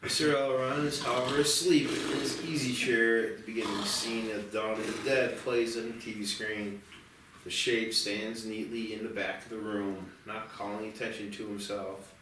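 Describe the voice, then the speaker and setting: A man's voice: fairly low pitch, fairly even intonation, moderate pace. A man reading out screenplay stage directions as narrator at a table read. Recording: echoey room, distant mic, faint noise.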